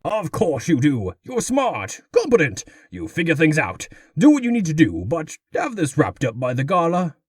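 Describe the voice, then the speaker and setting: A pompous mayor acted by a man, with a highish voice that swings wildly up and down. The delivery is grandiose and condescending, like comic-book oratory, in a dry close recording. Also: wildly swooping pitch, normal speed, few pauses, breathy edge, dry recording, exaggerated voice. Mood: condescending, commanding. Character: pompous, theatrical male mayor of a tiny exiled community. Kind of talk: comic dub character acting.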